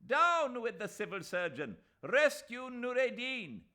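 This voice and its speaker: A male reader voicing a furious male agitator who yells every line, shrieking slogans. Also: extremely high pitch, sing-song, takes it slowly, voice pushed up, exaggerated voice. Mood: yelling. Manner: shrieking, yelling.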